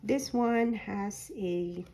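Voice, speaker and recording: A woman with a hoarse voice that is recovering from a sore throat, showing a handmade journal folio in a soft, unhurried way with frequent ums. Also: medium pitch. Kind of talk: slow, casual craft flip-through.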